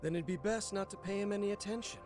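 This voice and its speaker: A man's voice: high-pitched, quick pace, a little mellow, soft background music, natural voice. An actor voicing a haughty, condescending male prosecutor in a video game, with a sarcastic edge.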